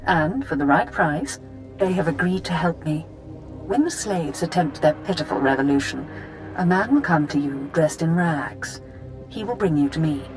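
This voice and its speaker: A woman's voice: sits low, natural voice, low-quality recording. A woman voicing a refined, lovestruck noblewoman whose speech is formal and honeyed. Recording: game audio with music.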